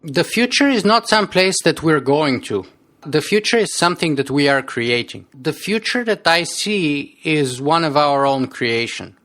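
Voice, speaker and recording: A man's voice: fairly high pitch, lively intonation, measured pace, fairly bright tone. A man with a strong Bulgarian accent, a podcast guest telling his story in an earnest interview manner, often repeating words as he restarts.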